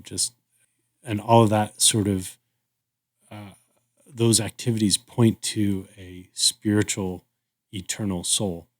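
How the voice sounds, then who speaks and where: Lower-voiced man, thoughtful and conversational. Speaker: podcast co-host. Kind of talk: casual reflective religious discussion.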